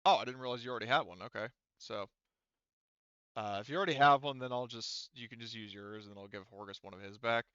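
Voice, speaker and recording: A man running a tabletop game as game master, explaining rules casually in a halting, self-correcting way. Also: medium pitch, fairly bright tone, clear, resonant tone, no echo, dull audio.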